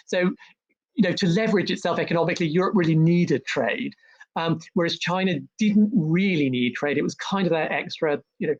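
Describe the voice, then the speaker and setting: A man with a somewhat high voice talks through history in an interview, full of ums and restarts that break his sentences up. The sound is a little dull and muffled. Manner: hesitant, many ums and restarts. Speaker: historian and author, interview guest. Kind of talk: conversational interview about history.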